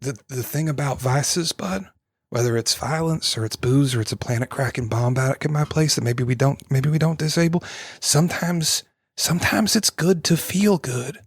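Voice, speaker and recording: A man playing a reckless, smooth-talking hustler who rambles folksy pep talks. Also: mid-range voice, normal speed, no room sound, clean studio sound, pitched above the performer's own voice, lightly acted. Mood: musing.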